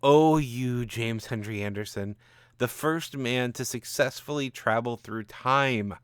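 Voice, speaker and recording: A man's voice: mid-range voice, measured pace, smooth, dry recording, clean studio sound, natural voice. A man voicing a bitter, angry gunman from the future with a mocking, ranting manner in a story. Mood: manic, amused.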